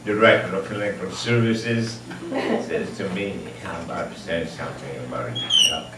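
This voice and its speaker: A hundred-year-old man from Egypt, reminiscing unscripted to an audience in a halting way, searching for his words. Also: low-pitched, animated. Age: 100. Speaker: honoree at a birthday tribute. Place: large echoey room with an audience. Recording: echoey room, distant mic, background noise.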